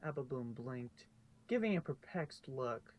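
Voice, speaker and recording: A man's voice: medium pitch, expressive, a little slow, darkish tone. A man narrating fan fiction as an amateur story reader.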